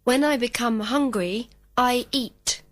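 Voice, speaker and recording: A woman giving slow, complete textbook answers as a model student in a recorded English lesson. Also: a little high. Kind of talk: scripted full-sentence answers.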